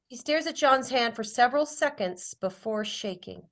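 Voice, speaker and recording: High-voiced woman narrating a script. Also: expressive, moderate pace. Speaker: narrator reading stage directions. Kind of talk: scripted narration at a table read.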